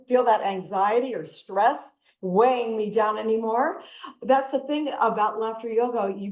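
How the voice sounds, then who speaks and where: A woman leading a laughter yoga session, giving breathing cues and breaking into loud laughter often. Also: fairly high pitch, reverberant, low-quality recording. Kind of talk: guided exercise instruction. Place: video call from home. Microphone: computer mic on a video call.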